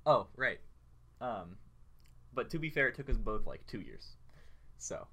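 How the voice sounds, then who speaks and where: A man's voice: mid-range voice, sing-song, measured pace, gravelly, fairly bright tone. A young man in casual conversation as a podcast co-host, earnestly explaining himself. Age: young adult. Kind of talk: casual chat.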